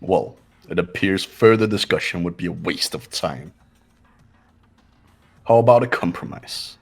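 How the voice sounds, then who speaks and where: A man's voice: low-pitched, measured pace, breathy edge, soft background music, full character voice. A man voicing a cold, haughty, condescending young man who gives orders in a fan-voiced visual novel game. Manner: condescending, clipped.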